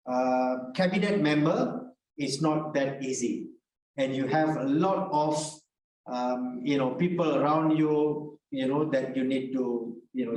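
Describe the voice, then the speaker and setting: A man's voice: on the high side, darkish tone. A man answering on a panel, talking conversationally and hesitantly with many fillers. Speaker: panel speaker. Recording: echoey, distant video call audio.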